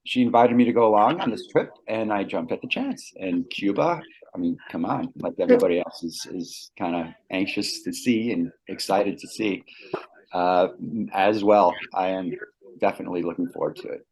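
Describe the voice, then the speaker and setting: A man from the Chicago area with a lowish voice introduces himself on a video call. He jokes easily and chuckles at his own asides. His tone stays relaxed and friendly. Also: normal speed, gravelly, slightly rough, rough recording. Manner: jokey, laughs at his own lines. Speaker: participant on a group call. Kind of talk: casual self-introduction.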